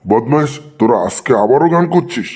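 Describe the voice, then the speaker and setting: A man's voice: mid-range voice, expressive, brisk, darkish tone, breathy edge. A man voicing a stern, easily angered schoolteacher who scolds in a cartoon comedy. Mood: angry.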